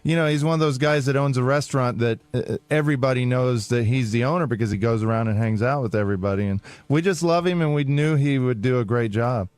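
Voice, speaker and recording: A man telling stories in casual radio chat, earnest and a little halting, close on a studio mic. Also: mid-range voice, darkish tone, clean tone, dry recording. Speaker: radio show participant.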